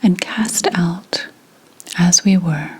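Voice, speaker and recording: A woman playing a proud, secretive 17th-century witch healer, whispering slowly and formally in an ASMR roleplay. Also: fairly low pitch, heavily acted. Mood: solemn, wounded pride. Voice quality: breathy unvoiced whisper. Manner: deliberate, hushed, archly formal phrasing.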